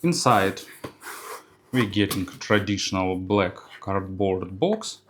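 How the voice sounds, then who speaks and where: A man with a Ukrainian accent reviewing earphones and unboxing them, pausing often on "uh" fillers. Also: low voice, animated, a little hiss or hum. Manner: unhurried, halting, many "uh" fillers.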